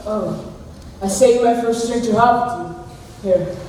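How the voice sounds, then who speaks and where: A woman's voice: on the high side, measured pace, dark tone, natural voice. A young woman playing a bitter, drunken, jilted man with wounded sarcasm in a stage drama. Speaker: student actor in a school play. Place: large hall at a school stage event. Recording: echoey hall from far off, faint noise.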